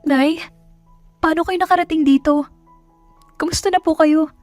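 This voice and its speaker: A woman's voice: sits high, fairly even intonation, normal speed, a little mellow, no room sound, soft background music, pitched above the performer's own voice, natural voice. A woman voicing a wounded young country woman in an audio drama, pleading and protesting with raw emotion. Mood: anxious. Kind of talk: Tagalog audio drama acting.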